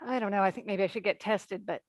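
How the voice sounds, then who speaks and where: A woman playing an unwell, anxious woman in a staged play reading, talking in a halting, hesitant way. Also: mid-range voice, fairly even intonation, no room sound, lightly acted. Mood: uneasy, unwell. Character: unwell, anxious woman brushing off her illness. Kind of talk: naturalistic stage play acting.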